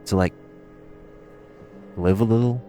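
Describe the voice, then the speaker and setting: A man's voice: fairly low pitch, mellow, faint music behind. A man playing a flirty, cocky supervillain thief in an audio roleplay, chatting casually and teasingly to the listener. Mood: apologetic. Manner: casual, teasing, conversational with playful pauses.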